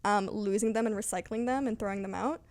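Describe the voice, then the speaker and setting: A woman's voice: medium pitch, quick pace, darkish tone, no room sound. A young woman co-hosting a book vlog, chatting casually and unscripted in a playful, wry manner. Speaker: book vlogger, co-host asking questions.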